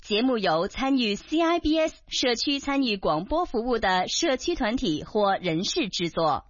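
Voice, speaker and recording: A woman station announcer reading station idents and schedule notes in a bright, promotional way. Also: fairly high pitch, big pitch swings, moderate pace, dry recording, muffled recording.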